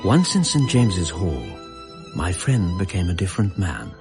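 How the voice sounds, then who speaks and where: Deep-voiced English gentleman narrator. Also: very animated, loud music behind the voice. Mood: fond, admiring. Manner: measured, literary, storytelling. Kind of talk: scripted radio drama narration.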